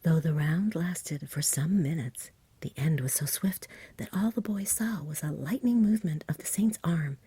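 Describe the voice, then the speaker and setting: A woman reading a bedtime story in a soft, whispery ASMR style, gentle and unhurried, close to the mic. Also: deep voice, dry recording. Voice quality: whispery, breathy. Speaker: storybook reader.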